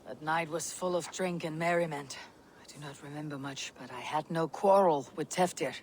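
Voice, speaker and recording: A woman's voice: low voice, normal speed, darkish tone, slight background noise, lightly acted. Woman acting resolute Viking warrior woman, hooves behind. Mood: earnest, measured. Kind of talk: video game drama acting. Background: horse hooves and outdoor ambience.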